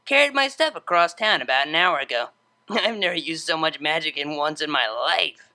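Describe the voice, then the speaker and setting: A storyteller puts on a carefree young cartoon unicorn mare. She sounds woozy and giddy, loose and drawn-out in her delivery, with bright, swooping pitch. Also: expressive. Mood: breathless.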